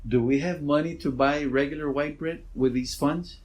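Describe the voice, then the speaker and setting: A middle-aged man with a naturally loud voice, a school principal answering interview questions in a relaxed, rambling way. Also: fairly high pitch, normal speed.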